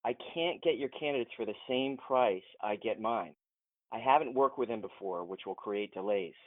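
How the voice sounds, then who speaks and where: An actor playing a frustrated, principled film producer in an audio drama, earnest and insistent, heard over a thin phone line. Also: male voice, fairly low pitch, normal speed, no room sound, close to an everyday voice. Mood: frustrated, pressing. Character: frustrated, principled male film producer challenging his boss. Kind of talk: modern audio drama acting.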